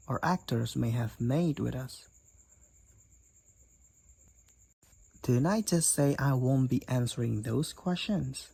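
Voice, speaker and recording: Husky, blunt demon roommate played by a man. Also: medium pitch, darkish tone, no echo. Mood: matter-of-fact, wry. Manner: conversational, blunt, teasing. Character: candid, teasing demon college roommate, a hot-headed gamer. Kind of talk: roleplay audio drama, speaking to the listener.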